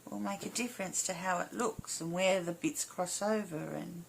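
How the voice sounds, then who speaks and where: A woman with a slightly hoarse, croaky voice giving a casual step-by-step craft tutorial in short, informal phrases as an instructor. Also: on the low side, fairly even intonation, moderate pace, a little hiss or hum, poor audio. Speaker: embroidery instructor.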